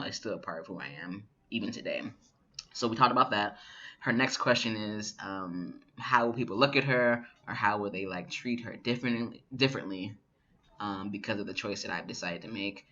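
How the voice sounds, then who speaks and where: Young man, twenties, bright lowish voice, reflective vlog storytelling, muffled camera audio. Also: fairly quick. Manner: relaxed, reflective, many fillers. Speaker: vlogger. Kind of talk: casual personal vlog storytelling.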